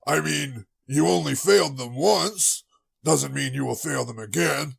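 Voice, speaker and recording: A man playing a blustery, easygoing middle-aged father with a gravelly, husky voice in an amateur anime audio drama. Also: a little high, measured pace, heavily acted. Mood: blustery, reassuring. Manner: boastful, dismissive of worries.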